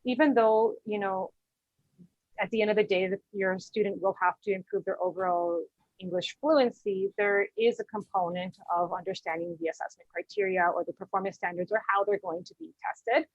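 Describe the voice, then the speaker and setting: A woman's voice: medium pitch, steady tone, moderate pace, no echo. A woman with a Vancouver Canadian accent talks casually as a podcast guest, explaining step by step with plenty of "um" and "like". Her audio sounds a bit dull and lo-fi. It is also very dry, with no room sound. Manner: conversational, explains step by step with fillers. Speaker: guest, English teacher.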